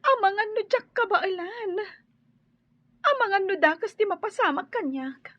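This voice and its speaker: A woman playing a very high, pleading young daughter. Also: animated, measured pace, fairly bright tone, no room sound, heavily acted. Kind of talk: radio drama acting. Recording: dry muffled studio audio.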